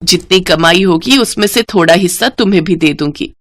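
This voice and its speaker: A woman's voice: fairly low pitch, moderate pace, fairly bright tone, no room sound. A woman voicing a kindly, practical food-cart owner in a story, frank and encouraging. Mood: weary, frank.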